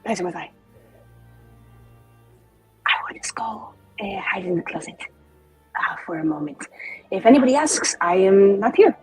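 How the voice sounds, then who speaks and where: A confident, spiteful female mage acted out by a woman in a tabletop game. She speaks in a husky voice, deliberate and formal, always evasive and conspiratorial. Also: mid-range voice, animated, a little mellow, soft background music. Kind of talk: tabletop roleplay.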